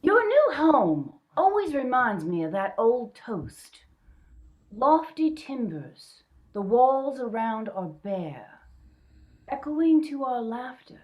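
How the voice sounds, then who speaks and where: A woman's voice: a little high, sing-song, takes it slowly, muffled recording. An actress playing a romantic, dreamy young woman in a radio play, with a theatrical manner. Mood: relishing the macabre.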